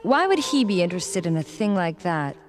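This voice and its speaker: An actress playing a level-headed young woman who speaks in a calm, skeptical, questioning way in a video game. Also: lowish voice, fairly quick, faint music behind.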